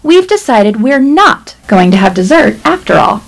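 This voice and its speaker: Middle-aged wife, radio play, exasperated and insistent, lively highish voice. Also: female voice, normal speed, creaky. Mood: dryly sweet. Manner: insistent, wry. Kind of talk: stage-style radio play acting.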